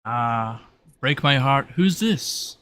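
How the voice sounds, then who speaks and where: A man's voice: medium pitch, lively intonation, takes it slowly, bright, forceful tone, a little hiss or hum. A man voicing a flamboyant, wryly friendly demon spirit in a tabletop game, musing theatrically and hesitantly, often repeating words. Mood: playfully wounded.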